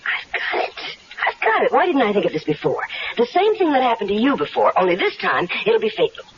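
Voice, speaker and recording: A seductive scheming wife. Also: female voice, on the high side, wildly swooping pitch, brisk. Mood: urgent. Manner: coaxing, persuasive. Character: scheming wife plotting her husband's murder, seductive. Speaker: radio drama actress. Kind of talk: 1970s radio drama acting. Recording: dull, faintly hissy 1970s radio recording.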